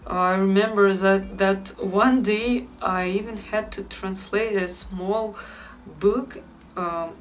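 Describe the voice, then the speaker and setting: Woman with a Russian accent, unhurried vlogging. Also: mid-range voice, far from the mic, rough recording. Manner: unhurried, repeats phrases, frequent fillers. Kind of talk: casual vlog to viewers.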